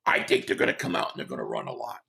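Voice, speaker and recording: A man hosting a sports talk livestream, hoarse and losing his voice, reading chat comments and giving rambling, opinionated takes. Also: rapid-fire, bright, forceful tone. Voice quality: hoarse, gravelly. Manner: rambling, opinionated, repeats himself.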